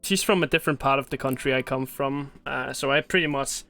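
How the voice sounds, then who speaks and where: A man's voice: medium pitch, a little fast, bright, forceful tone, soft background music. A young man from Denmark, a native Danish speaker, hosting a casual chat with sweary, joking talk. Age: young adult. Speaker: podcast host.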